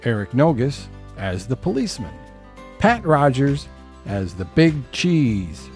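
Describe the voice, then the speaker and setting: A man's voice: mid-range voice, a little mellow. A man hosting an audio theater show, reading scripted closing credits as its announcer. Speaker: announcer, host. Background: light music.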